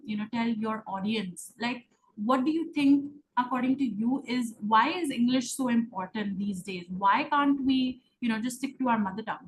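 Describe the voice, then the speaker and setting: A woman's voice: fairly high pitch, slight background noise, rough recording. A woman interviewing a guest, affirming her points and using many fillers. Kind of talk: conversational video interview.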